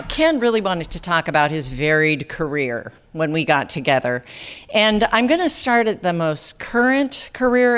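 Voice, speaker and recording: A woman's voice: fairly low pitch, a bit bright. A woman emceeing on an event hall stage, giving a playful mock-serious introduction that piles up one fake career after another.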